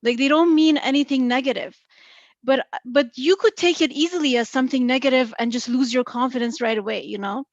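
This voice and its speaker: A woman's voice: sits high, a little fast, no room sound, muffled recording. A woman with a noticeable accent on a virtual panel, explaining earnestly with examples and many fillers over a video call. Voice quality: noticeable non-native accent. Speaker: panellist in finance.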